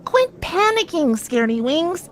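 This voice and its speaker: A woman's voice: very high-pitched, very animated, measured pace, heavily acted. A woman voicing a brash, confident young female pegasus pony who teases, in a fan fiction audio story. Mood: teasing. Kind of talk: dramatized fan fiction reading.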